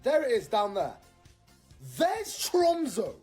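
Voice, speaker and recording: A speaker in an excited football explainer, enthusiastic and laughing between phrases. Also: medium pitch, slow pace, slightly rough, soft background music. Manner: enthusiastic, laughs mid-sentence.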